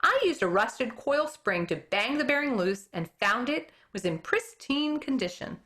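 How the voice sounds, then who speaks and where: A teacher reads a chapter book aloud in a bright, clear voice. Her pitch swings widely as she acts out the story. When a tricky name trips her up, she comments on it and keeps going. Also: a little slow. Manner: expressive storytelling, stumbles on hard names and comments on them. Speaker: elementary school teacher. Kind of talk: reading a children's book aloud.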